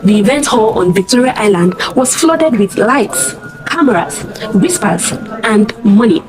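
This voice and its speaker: A woman's voice: medium pitch, lively intonation, unhurried, crowd noise, poor audio. A woman telling a scripted dramatic story as narrator, theatrical and suspenseful, in short punchy phrases.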